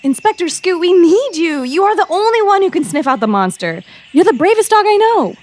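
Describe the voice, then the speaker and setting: A woman acts a sarcastic schoolgirl in an audio drama. Her voice is high and swings widely as she talks with sassy spirit. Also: very animated, brisk, darkish tone. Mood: coaxing. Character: spirited, sarcastic schoolgirl, wary yet persuasive. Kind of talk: comedic audio drama acting.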